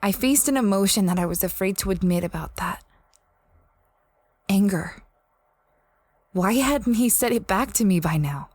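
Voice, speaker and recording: A woman's voice: mid-range voice, lively intonation, moderate pace, long pauses, a little mellow, no echo, lightly acted. A woman playing a space explorer who recounts a strange expedition in a conversational, first-person audio drama style. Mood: wounded, intense. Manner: reflective, conversational storytelling.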